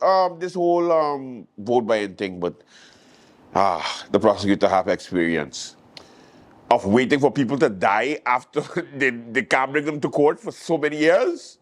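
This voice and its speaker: A man with a Caribbean accent talks in a middle-pitched voice as a late-night host. His commentary is casual and conversational, told like stories with quoted voices acted out. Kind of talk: casual news commentary monologue.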